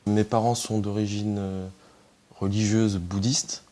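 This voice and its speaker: A man's voice: lowish voice, level tone, unhurried, warm tone. A man giving a personal testimony in a reflective way, with frequent fillers. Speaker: interviewee.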